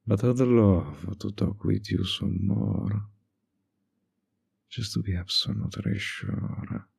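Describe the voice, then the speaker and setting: A man acts out a silky villain in a flirtatious audio roleplay. His voice is deep and dark with a gravelly creak, recorded dry and close. He draws out his words with teasing, manipulative politeness. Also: slow, dry recording, heavily acted. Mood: teasing, smug. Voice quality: low, breathy, gravelly.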